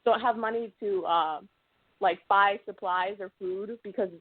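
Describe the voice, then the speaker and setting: A woman's voice: medium pitch, a little slow, no room sound. A woman calling a radio show, speaking earnestly and insistently. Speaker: caller. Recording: dry, tinny phone line.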